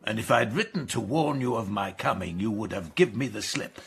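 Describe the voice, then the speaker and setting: Roguish older English lord, jovially pompous and grasping, husky voice with swooping delivery, for radio drama. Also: male voice, on the low side, animated, brisk, fairly bright tone, full character voice. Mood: scornful, demanding. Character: roguish, spendthrift aristocratic father, genial but grasping. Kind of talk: British radio drama acting.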